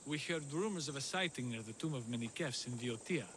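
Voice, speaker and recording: An actor playing a courteous, anxious scholar who talks earnestly in a video game. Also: male voice, medium pitch, lively intonation, brisk, fairly bright tone, slight background noise, close to an everyday voice. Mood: worried. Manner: polite, earnest, worried.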